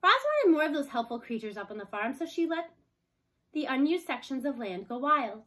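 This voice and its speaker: Higher-pitched woman reading a children's book. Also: very animated, moderate pace, a bit bright. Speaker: storybook reader. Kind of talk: children's book read aloud, scripted narration.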